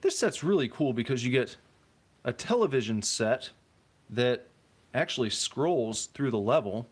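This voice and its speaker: Relaxed man streaming through a phone mic. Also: mid-range voice, measured pace, husky. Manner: relaxed, rambling, reads chat aloud. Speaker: streamer host. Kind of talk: casual live-stream chat while building. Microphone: phone mic on a tripod.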